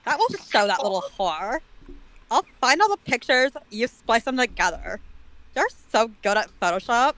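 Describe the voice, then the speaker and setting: A woman's voice: on the high side, very animated, normal speed, a bit bright, poor audio. A woman reading the part of a mean, scheming teenage girl in a comic story reading, spiteful and emphatic.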